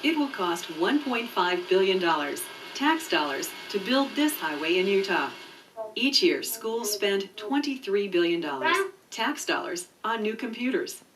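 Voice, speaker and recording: A woman narrating a scripted promotional video in a clear, measured, informative way. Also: medium pitch, sing-song, a bit bright, a little hiss or hum. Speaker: voice-over narrator. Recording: muffled distant VHS tape dub with music. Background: background music.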